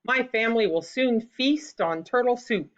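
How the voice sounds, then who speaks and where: A woman's voice: fairly high pitch, expressive, normal speed, natural voice. A woman voicing a boastful, firm hunter father in a storybook tale, on a slightly dull recording. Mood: gloating.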